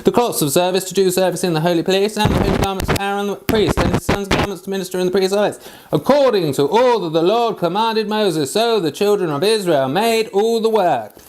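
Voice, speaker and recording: A man with a notably high-pitched voice reads Bible passages aloud. His delivery is that of an amateur reader, somewhat halting as he works through the formal old text. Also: darkish tone, slight background noise, rough recording. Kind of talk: scripture reading aloud.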